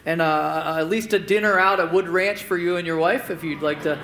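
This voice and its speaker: Bright high-voiced earnest pastor. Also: male voice, a little fast. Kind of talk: sermon and congregational prayer. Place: church sanctuary stage.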